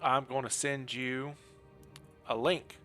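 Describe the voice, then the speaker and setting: A man's voice: mid-range voice, fairly even intonation, takes it slowly, pushed and bright, faint music behind. A man giving casual live game commentary, explaining things in a rambling, easygoing way. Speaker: game streamer.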